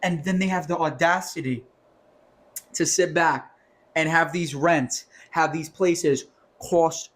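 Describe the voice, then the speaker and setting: A young man with a fairly high voice on a casual podcast, ranting about money. He is animated and curses freely. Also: slow pace. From New York. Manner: animated, ranting, swears often. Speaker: podcast co-host.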